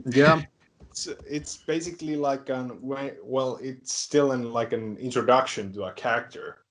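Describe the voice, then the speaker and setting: A man's voice: medium pitch, steady tone, measured pace. A young man from Finland with a Finnish accent, rambling through a casual video call chat and laughing between phrases. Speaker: fan film creator.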